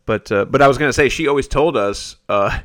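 A man's voice: medium pitch, fairly quick, fairly bright tone. An American man in his forties hosting a podcast in a casual, chatty, reminiscing way. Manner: chatty, reminiscing, chuckles often. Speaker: podcast host. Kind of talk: casual conversational interview.

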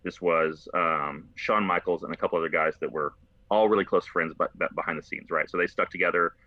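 An American man chatting casually and telling rambling, animated stories as a podcast host. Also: deep voice, a little fast, no echo. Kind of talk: casual conversational storytelling. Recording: slightly dull lo-fi, dry close sound.

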